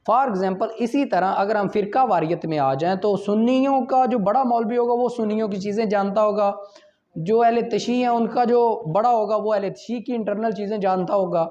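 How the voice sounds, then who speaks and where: High-pitched Pakistani man lecturing. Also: moderate pace, a little mellow. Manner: explanatory, checks in with short questions, switches between English and Urdu. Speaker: law teacher. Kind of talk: classroom lecture, reading law articles and explaining them. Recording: dull, lo-fi audio.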